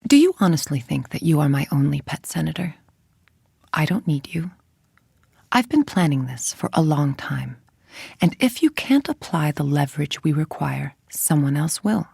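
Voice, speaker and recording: A woman playing a cold, ambitious scientist villain in a video game, speaking in a measured, precise, menacing way. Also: low-pitched, vocal fry, dark tone, husky, no room sound, studio quality, exaggerated voice. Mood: threatening, commanding. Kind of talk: video game voice acting, monologue.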